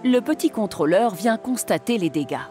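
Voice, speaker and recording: A woman's voice: medium pitch, expressive, normal speed. A woman reading scripted storybook narration for a children's cartoon. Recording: music behind the voice. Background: music.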